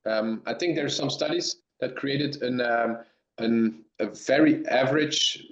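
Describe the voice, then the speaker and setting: Man from Belgium, halting answers, restarts, echoey room, muffled audio. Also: medium pitch. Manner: halting, many restarts and ums. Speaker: dairy science professor. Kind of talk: webinar Q&A answers. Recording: roomy, dull lo-fi sound.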